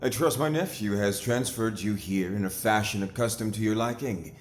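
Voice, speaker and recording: An actor in an audio drama playing a smooth, sinister older Puritan gentleman with formal, courteous archaic speech, in a roomy echo. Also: lowish voice, moderate pace, soft background music, close to an everyday voice. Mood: coolly courteous.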